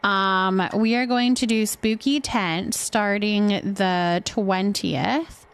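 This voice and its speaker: A woman's voice: mid-range voice, fairly even intonation, takes it slowly, clean tone. A woman hosting a live game stream, chatting casually and thinking aloud as she goes.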